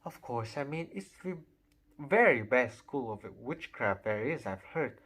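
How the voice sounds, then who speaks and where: A man's voice: medium pitch, expressive, a little slow, fairly bright tone. A man voicing a bossy, know-it-all young schoolgirl who rattles on eagerly. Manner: bossy, talks in a rush.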